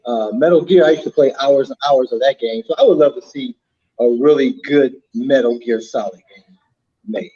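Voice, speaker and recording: A man joining a live-streamed chat, talking in an earnest, halting way and repeating his words. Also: medium pitch, dark tone. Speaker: co-host. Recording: dull lo-fi sound.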